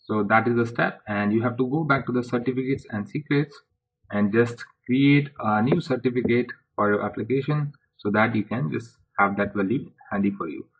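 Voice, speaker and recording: A man presenting a software tutorial step by step in an informal way, speaking haltingly in short phrases. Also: medium pitch, husky, low-quality recording.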